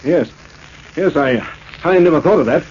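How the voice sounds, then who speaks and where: High-pitched panicky businessman played by a man. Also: animated, moderate pace, a little mellow, close to an everyday voice. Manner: stammering, agitated. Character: panicky, frightened businessman fearing for his life. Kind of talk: 1940s radio acting. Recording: muffled, hissy old radio recording.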